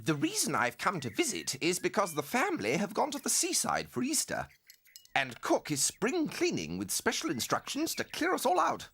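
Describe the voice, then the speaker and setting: Fussy British town mouse, wildly swooping. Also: male voice, high voice, huge pitch swings, moderate pace, creaky, fairly bright tone, slightly rough, full character voice. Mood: gossipy. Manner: jumpy, fretful, dramatic. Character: fussy, nervous male town mouse, dapper and gossipy. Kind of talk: animated storybook cartoon acting.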